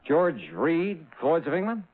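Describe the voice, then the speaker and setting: An actor playing a brisk, guarded investigator in a 1950s radio drama, brusque and wary. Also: male voice, fairly high pitch, sing-song. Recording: tinny, narrow old radio recording.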